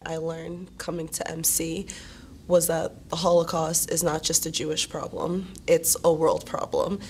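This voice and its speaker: Young female interviewee, low and level delivery, faint noise behind her. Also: little pitch movement, unhurried, darkish tone. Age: young adult. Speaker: student interviewee. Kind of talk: interview soundbite.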